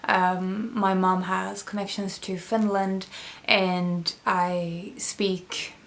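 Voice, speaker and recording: A woman's voice: medium pitch, level tone, extremely slow. Swedish-native woman chatting about books. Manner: thoughtful, many fillers and restarts. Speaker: book vlogger. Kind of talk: casual book review chat.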